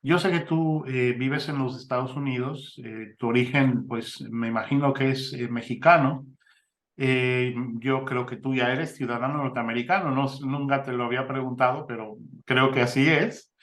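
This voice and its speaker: A man with a mid-pitched voice hosting an interview. He affirms his guest often and talks with many fillers and restarts. The sound has an echoey room. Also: steady tone, moderate pace, a bit bright. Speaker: interviewer, mission ministry host. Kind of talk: conversational interview. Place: Spain.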